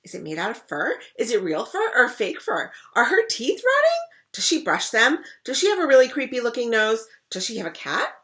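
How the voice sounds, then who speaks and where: A woman reading a storybook aloud, voicing an excitable little girl who fires off endless questions in a squealing voice. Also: fairly high pitch, pitched above the performer's own voice, full character voice. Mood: eager. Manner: squealing, rapid-fire questions. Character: excitable little girl, pesky youngest sister.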